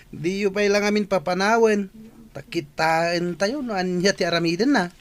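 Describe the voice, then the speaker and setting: A man's voice: high-pitched, normal speed, natural voice. A man with an Ilocano accent acting a cocky, teasing young schoolmate in a radio comedy drama.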